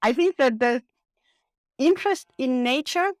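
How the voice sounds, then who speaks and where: A woman's voice: sits high, lively intonation, unhurried, no echo, clean studio sound. A woman from northern Finland, a guest talking eagerly about her work, laughing often and restarting her phrases. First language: Finnish. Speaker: guest parasitologist. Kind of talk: casual podcast chat.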